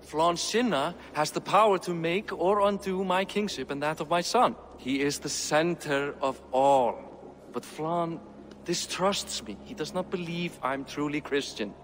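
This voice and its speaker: A man playing a dignified, shrewd middle-aged Viking king in a game cutscene, speaking formally and deliberately. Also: fairly high pitch, long reverb tail, a little hiss or hum, lightly acted. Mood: earnest, calculating. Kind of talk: dramatic video game cutscene acting.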